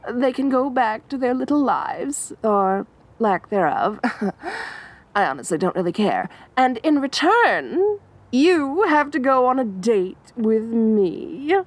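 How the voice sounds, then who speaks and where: A high, giggly supervillainess, as a woman plays her for an audio roleplay, obsessive and theatrical. Her voice swoops all over as she draws out her words slowly, flipping from coy to menacing. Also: exaggerated voice. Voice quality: dramatic, giggly, sing-song. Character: obsessive theatrical female supervillain, young adult.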